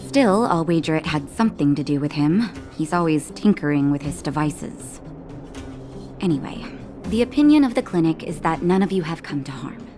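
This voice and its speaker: An actress voicing a calm, caring woman doctor in a video game, speaking in a gentle, reassuring way. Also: low-pitched, normal speed. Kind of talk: scripted game dialogue. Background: music.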